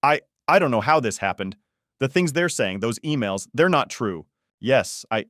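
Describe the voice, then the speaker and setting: A man's voice: lowish voice, animated, brisk, fairly bright tone, no echo, clean studio sound. A man playing a guilty male lover in an audiobook drama, strained, hesitant and pleading. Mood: stammering, defensive. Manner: hesitant, stammering, urgent.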